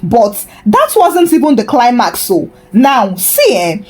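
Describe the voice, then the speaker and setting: A woman with a Nigerian accent narrating a folktale in an expressive, humorous, dramatic way. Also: a little high, slow pace, slightly rough. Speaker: storyteller.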